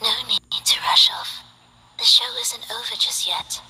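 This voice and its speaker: A woman voicing a playful female conductor who hosts a city's night revels, in game voice lines, speaking in a hushed, teasing, theatrical way. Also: a little slow, whispered, in a large hall, close to an everyday voice, low-quality recording.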